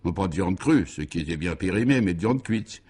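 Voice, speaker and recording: Man, low voice, radio serial narrator, mock-solemn, grandiloquent, emphatic comic storytelling. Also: animated, fairly quick, a little mellow, slightly rough. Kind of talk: scripted dramatic narration of an absurd comic story.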